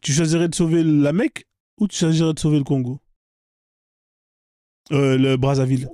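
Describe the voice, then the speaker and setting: Pushy higher-voiced male debate host. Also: fairly even intonation, moderate pace, warm tone, no echo, studio quality. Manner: pressing, repeats questions insistently. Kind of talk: live online debate.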